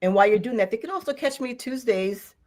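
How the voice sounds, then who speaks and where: A woman's voice: fairly high pitch, expressive, fast talker, darkish tone. A woman in her sixties, a guest author, chatting in a friendly, enthusiastic way on a live stream. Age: 60s. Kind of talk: casual live-stream chat.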